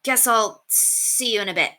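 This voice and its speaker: A woman voicing an earnest, hesitant boy in a storybook, with halting, casual phrasing. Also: on the high side, bright, forceful tone, voice pushed up. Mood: sheepish.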